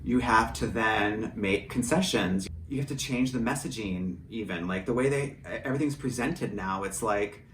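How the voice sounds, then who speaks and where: A man's voice: lowish voice, normal speed, hardly any pauses, a bit bright. An American man from rural Michigan talking candidly and with opinions in a casual interview. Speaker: audio drama writer, producer and drag performer. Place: indoor home studio. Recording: roomy, distant mic, faint background noise.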